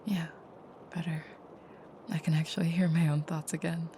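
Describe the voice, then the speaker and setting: A woman playing a tender, soft-spoken vampire girlfriend in an intimate audio roleplay, with a breathy near-whisper and gentle, slightly formal phrasing. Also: sits low, level tone, normal speed, a little hiss or hum, low-quality recording. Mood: calming, grateful.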